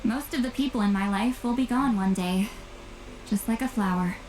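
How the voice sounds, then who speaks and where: An actress playing a long-lived, intimidating but guarded shaman woman in a video game, halting and sighing, slightly tipsy. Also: mid-range voice, close to an everyday voice, rough recording. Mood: wistful, resigned. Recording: game dialogue under loud music.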